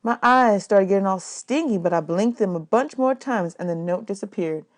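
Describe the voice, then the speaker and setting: Expressive woman reading a novel aloud. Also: medium pitch, moderate pace. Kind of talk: expressive audiobook read-aloud.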